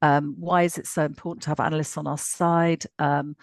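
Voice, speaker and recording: A woman hosting a webinar panel, chatty and warm in tone with repeated words and fillers. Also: low voice, little pitch movement, fairly quick, dry recording, clean studio sound. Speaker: webinar host. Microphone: video call.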